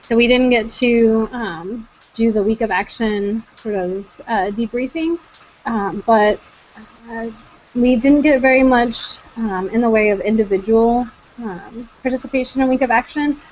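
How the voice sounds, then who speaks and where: A woman's voice: fairly high pitch, unhurried, darkish tone, a little hiss or hum. A woman coordinator hosting an online group meeting, talking casually with many ums and uhs. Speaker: network coordinator, meeting host. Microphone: video call. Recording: dull lo-fi video call audio.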